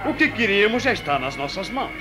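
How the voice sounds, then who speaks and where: Mocking temple priest, high pitch, lively intonation, cold contempt, religious drama, music behind. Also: male voice, quick pace. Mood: dismissive. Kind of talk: religious radio drama acting.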